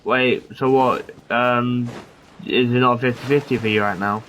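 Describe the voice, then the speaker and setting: A man's voice: medium pitch, steady tone, a little slow, fairly bright tone, a little hiss or hum, low-quality recording. A man chatting casually while streaming a game, rambling with lots of fillers. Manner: rambling, filler-heavy speech with frequent "like". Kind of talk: casual gameplay chat.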